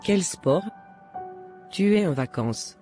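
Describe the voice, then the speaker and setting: A soft-toned female voice over music. A woman plays a worried animated mother who probes with concern and keeps insisting. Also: fairly low pitch, takes it slowly. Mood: worried, insistent. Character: worried, probing mother of a teenage son. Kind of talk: dramatic dialogue in an animated short.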